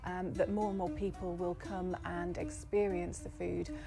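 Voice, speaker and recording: Lowish British woman explaining over music. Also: little pitch movement, measured pace, vocal fry. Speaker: project founder. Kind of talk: explanatory interview to camera.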